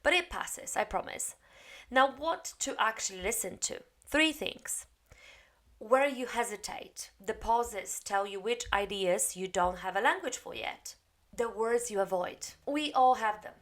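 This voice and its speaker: A woman's voice: a little high, measured pace, fairly bright tone. A woman, an English coach giving a direct, encouraging spoken lesson. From Poland. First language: Polish. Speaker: English coach and TEFL teacher.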